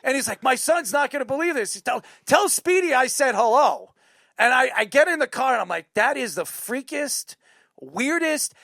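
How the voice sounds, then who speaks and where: Excitable New man with gravelly creak. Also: very high voice, moderate pace, dry recording, studio quality. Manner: excitable, repeats himself, profane. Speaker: sports talk show host. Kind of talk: casual chat, animated storytelling.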